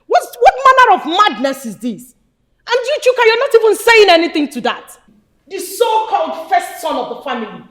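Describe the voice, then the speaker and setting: A woman with a Nigerian accent playing an angry young woman who scolds her family with sharp, fiery words. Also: very high-pitched, big pitch swings, moderate pace, exaggerated voice. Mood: furious. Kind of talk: film drama acting.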